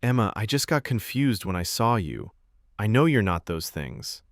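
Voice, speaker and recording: A man voicing a casual, apologetic young love interest in a romance story, with a gentle way of talking. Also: fairly low pitch, very animated, normal speed, no echo, studio quality.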